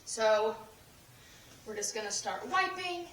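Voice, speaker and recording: A young woman with a hoarse, fading voice, chatting through a cleaning demonstration with lots of fillers and restarts. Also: on the high side, expressive, takes it slowly, fairly bright tone, a little hiss or hum. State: losing her voice. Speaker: cleaning tips creator. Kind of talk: casual how-to demonstration. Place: home kitchen. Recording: echoey room, voice from a distance.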